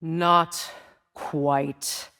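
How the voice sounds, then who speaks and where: An actress playing a proud, wounded, vengeful Norse goddess queen in a video game, speaking with imperious, deliberate intensity. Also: sits low, little pitch movement, pushed and bright, exaggerated voice. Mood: quiet menace. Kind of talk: dramatic video game acting.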